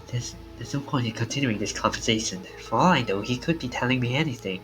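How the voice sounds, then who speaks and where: A man's voice: mid-range voice, lively intonation, quick pace, natural voice. A man playing a suspicious, confused game protagonist with blunt, questioning speech. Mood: confused, defensive. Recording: music under the voice.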